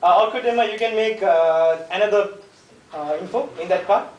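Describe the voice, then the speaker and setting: A man's voice: sits high, moderate pace, muffled recording, a crowd in the background. A young man gives a hesitant, joking class presentation and quizzes the class. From Nepal. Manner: hesitant, jokey, addresses the class. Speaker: student presenter. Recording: echoey classroom, mic far off.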